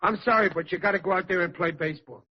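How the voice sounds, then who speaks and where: An actor playing a blustery, good-natured working-class father in a 1940s radio sitcom, with a husky voice and folksy, emphatic, ungrammatical talk. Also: high voice, fast. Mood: blustering. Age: middle-aged. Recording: muffled vintage radio recording.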